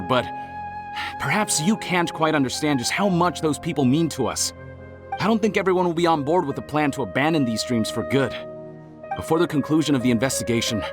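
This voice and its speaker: A man's voice: a little high, natural voice. Man as reluctant townsman over game music. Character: ordinary townsman, reluctant, polite but stubborn dreamer. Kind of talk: video game cutscene acting. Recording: music playing behind the voice.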